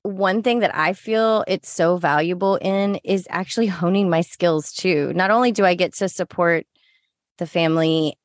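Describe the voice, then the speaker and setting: Woman, American accent, slightly low voice, dry studio-like sound, chatty, explanatory, casual podcast co-host. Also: moderate pace, no echo. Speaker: podcast co-host and lactation consultant.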